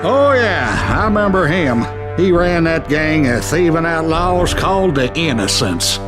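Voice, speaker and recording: An actor voicing a chatty saloon patron who recalls outlaw lore in a Western game. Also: male voice, on the high side, sing-song, unhurried, music in the background. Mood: knowing.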